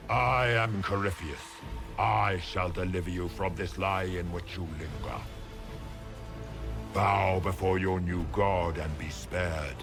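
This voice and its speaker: A man's voice: sits low, vocal fry, exaggerated voice. A man voicing an ancient, arrogant monster who claims godhood, speaking in grand, commanding oratory for a fantasy game. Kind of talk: dramatic fantasy game villain acting. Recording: music behind the voice.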